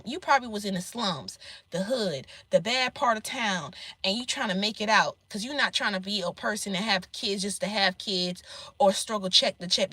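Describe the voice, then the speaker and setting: A woman with a squeaky voice giving blunt, profane life advice in a casual monologue to her viewers. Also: sharp tone, no room sound. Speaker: self-employed vlogger giving life advice.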